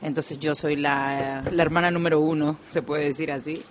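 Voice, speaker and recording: A woman's voice: sits low, steady tone, moderate pace, background noise. A woman from Peru with a Peruvian accent, talking casually as a guest in a radio interview.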